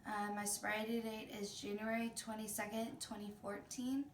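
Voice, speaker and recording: A woman's voice: medium pitch, level tone, moderate pace, a little hiss or hum. A woman in her twenties speaking hesitantly in a documentary interview, with many ums and likes. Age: 20s. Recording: echoey room, from a distance.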